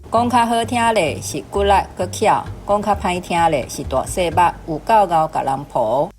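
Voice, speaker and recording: A woman's voice: lowish voice, normal speed, a little mellow, faint music behind. A woman with a Taiwanese accent giving example translations as a language teacher.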